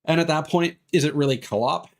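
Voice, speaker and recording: A man's voice: fairly high pitch, normal speed. A man giving casual, rambling gameplay commentary as a streamer, hesitant and drifting into tangents. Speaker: video game streamer. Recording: music behind the voice.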